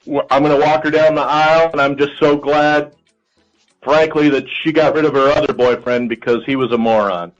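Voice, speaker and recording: A man's voice: on the high side, fairly even intonation, normal speed, faint music behind. A middle-aged American man talking casually as he answers questions on a phone call to a radio show. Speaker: called contestant, a father.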